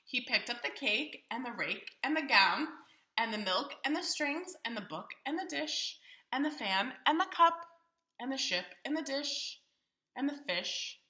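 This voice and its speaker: Very bright-voiced woman reading aloud to children. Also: sits high, expressive, roomy sound. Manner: theatrical, pauses to question young listeners. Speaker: children's storybook reader. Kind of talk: expressive read-aloud for young children.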